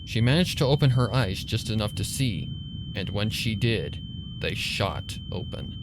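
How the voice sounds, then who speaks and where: A man's voice: medium pitch, huge pitch swings, unhurried, noisy background. A man narrating a story in a dramatic, suspenseful, theatrical scripted style. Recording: loud ambient sound effects over the voice.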